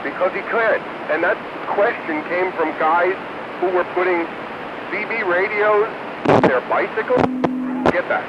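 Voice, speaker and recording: Loud rumbling noise swamps a dull radio signal. A man with a somewhat high voice talks casually through it. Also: a little slow. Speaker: radio roundup participant. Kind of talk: casual chat over radio.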